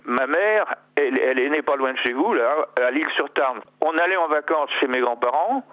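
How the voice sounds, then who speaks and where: A man's voice: on the high side, expressive. Older man rambling down a muffled phone line. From Normandy, France. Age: 70s. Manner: halting, many restarts and fillers, chuckles. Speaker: sculptor guest. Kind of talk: casual interview over the phone. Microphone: telephone line.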